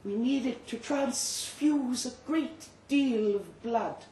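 A man voicing a sinister, condescending Frankenstein's monster doctor in a dramatic story reading, rasping, precise and deliberate. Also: very high-pitched, warm tone, slight background noise, voice pushed up. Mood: brisk reassurance. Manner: slow, deliberate, precise, patronising. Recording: echoey live room.

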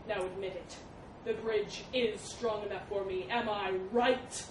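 A woman's voice: mid-range voice, steady tone, unhurried, close to an everyday voice. A woman voicing a huge, proud male elephant in an animal fable, cold, haughty and threatening. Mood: cold, menacing. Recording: roomy, distant mic with background noise.

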